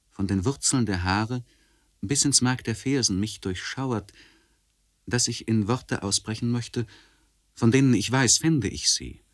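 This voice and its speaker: A man playing a melancholy, introspective aristocratic landowner in a German radio play, speaking in measured, solemn, formal literary phrases. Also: fairly low pitch. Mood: hushed awe.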